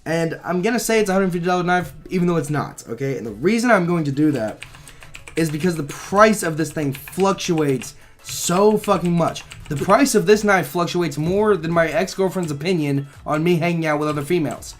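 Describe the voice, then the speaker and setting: A slightly hoarse young man rambling through casual gameplay commentary, swearing freely, his mic held close to his mouth. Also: on the high side, normal speed, faint music behind. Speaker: gaming channel host.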